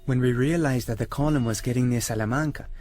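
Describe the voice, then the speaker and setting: A man's voice: medium pitch, fast, warm tone, soft background music, close to an everyday voice. An actor playing an earnest, friendly young escaped prisoner in a radio drama, telling his story with animation. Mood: earnest recounting.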